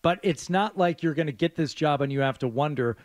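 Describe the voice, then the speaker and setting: A man's voice: a little high, fairly quick, no room sound. A man co-hosting a sports radio show, talking and asking questions in a casual conversational style. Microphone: close studio radio mic.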